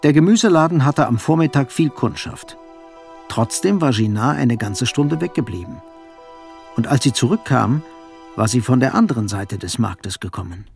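An actor narrating a radio play as a sober, observant storyteller, with a gravelly, husky voice and measured delivery. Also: male voice, medium pitch, lively intonation, a little mellow, soft background music. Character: sober, observant male storyteller of a melancholy novel.

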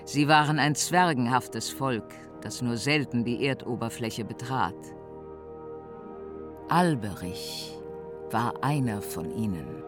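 A woman playing a solemn earth mother goddess who narrates a myth in a German fantasy radio drama. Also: very deep voice. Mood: mysterious. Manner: solemn, measured. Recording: studio narration over music.

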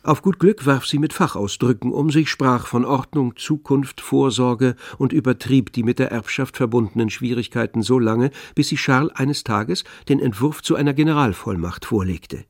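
A man narrating a literary radio play in a composed, measured manner. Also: medium pitch, no room sound, clean studio sound, lightly acted.

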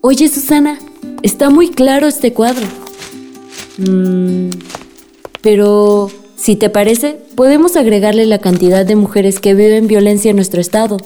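A woman's voice: medium pitch, unhurried, warm tone, faint music behind, close to an everyday voice. A young woman playing an assertive daughter who questions unfair authority in a radio drama. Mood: indignant, questioning.

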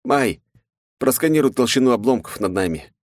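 A man's voice: mid-range voice, expressive, normal speed, no echo, studio quality, voice pushed up, natural voice. A male narrator voicing his first-person hero, a crude, irritable young man who swears. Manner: swearing, gruff, in pain. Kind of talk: audiobook character voice.

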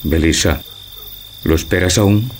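A man's voice: sits low, measured pace, slight background noise. A man playing a lovelorn, brooding old husband in a theatrical radio tragicomedy, with grave, poetic declamation. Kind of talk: theatrical Spanish radio drama acting.

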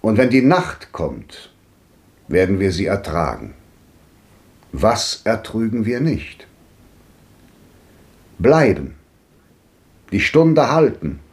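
An actor playing a grandly bitter old man in a 1950s radio drama, declaiming with sweeping swings between loud and hushed. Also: lowish voice, very animated, takes it slowly, pauses often, exaggerated voice. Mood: brooding. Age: older.

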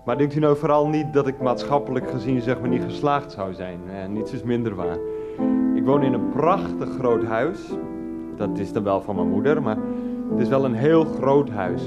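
A man's voice: medium pitch, normal speed, loud music behind the voice, natural voice. Self-justifying middle-aged husband played by a man, dull recording. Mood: defensive, self-important. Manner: hesitant, self-justifying, verbal padding. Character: whiny, self-justifying 39-year-old unfit ex-teacher husband. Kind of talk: radio play acting.

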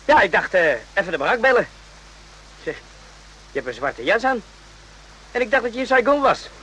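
Husky, high-pitched, restless soldier. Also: male voice, sing-song, normal speed, bright, forceful tone, exaggerated voice, low-quality recording. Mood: flustered, nervous. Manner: agitated, stammering, repeats himself. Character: restless, uneasy soldier on night watch, rough-spoken but caring. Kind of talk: radio play acting.

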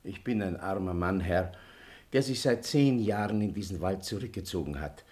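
A man's voice: low voice, normal speed, a little mellow, natural voice. A man in a German radio play acting a pious, poor forest beggar who speaks in an earnest, deferential, pleading way. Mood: humble, pleading.

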